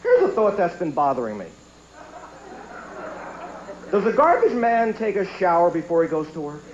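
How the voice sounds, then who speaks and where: A man's voice: sits high, huge pitch swings, normal speed, very noisy. A man performing a standup comedy routine, emphatic and stretching out his punch lines. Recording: dull lo-fi echoey archive TV recording, music.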